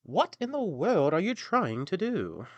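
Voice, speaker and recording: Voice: medium pitch, sing-song, unhurried, dry recording, muffled recording, voice pushed up. A man voicing a friendly, encouraging old donkey in a fairy tale, with a hearty, persuasive manner.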